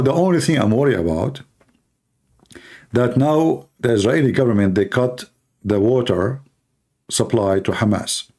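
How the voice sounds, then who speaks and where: A man who grew up in the Middle East speaks with the accent of a native Arabic speaker. His voice is dark and relaxed, at an ordinary male pitch. He talks off the cuff to live stream viewers in a blunt, direct way. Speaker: live stream host, religious apologist. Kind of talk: informal live stream talk.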